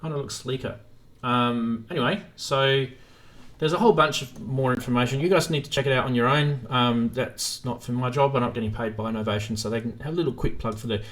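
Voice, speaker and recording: A man's voice: medium pitch, a little fast, fairly bright tone. A man hosting a live stream in a casual, informal chat, thinking aloud as he goes.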